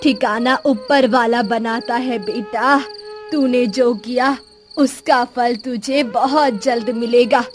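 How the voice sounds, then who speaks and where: A woman voicing a mysterious, kindly old village woman who speaks gently and solemnly, giving blessings in an animated moral story. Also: fairly high pitch, takes it slowly, faint music behind, pitched below the performer's own voice. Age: older.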